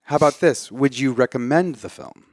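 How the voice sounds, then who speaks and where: A man's voice: mid-range voice, normal speed, a little mellow, dry recording. A man co-hosting a video game show, chatting casually about a film in a jokey, emphatic way and often repeating himself. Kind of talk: casual film review chat.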